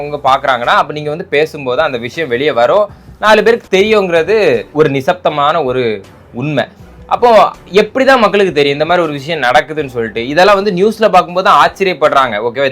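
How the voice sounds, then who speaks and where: Rapid-fire man doing political commentary. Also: a little high, fairly bright tone. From Tamil Nadu, India. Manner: argumentative, mocking, peppered with rhetorical questions. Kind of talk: casual political roast commentary.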